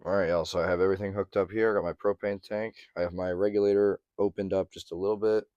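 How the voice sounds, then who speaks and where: A man, slightly hoarse, chatting casually through a van stove install, with lots of ums and throat clearing. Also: deep voice, level tone, quick pace, darkish tone, no room sound, muffled recording. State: slightly hoarse, losing his voice. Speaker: van life vlogger. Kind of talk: casual how-to narration to camera.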